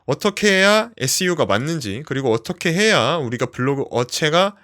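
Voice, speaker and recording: Higher-pitched man with lively, varied intonation. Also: normal speed. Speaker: tutorial presenter. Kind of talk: instructional screen walkthrough, explanatory.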